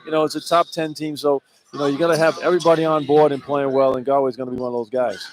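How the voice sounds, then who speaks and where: A man's voice: fairly high pitch, flat delivery, fast, a little hiss or hum, rough recording. A man working as a color analyst, talking conversationally through live sports analysis on the radio. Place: courtside in a sports arena.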